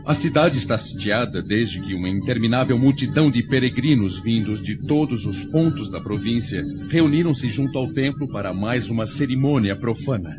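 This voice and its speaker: A man's voice: mid-range voice, lively intonation, normal speed, hardly any pauses, close to an everyday voice. A man acting as a ruthless young Roman prince and commander, haughty and declamatory, in a radio drama. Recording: muffled recording with music behind.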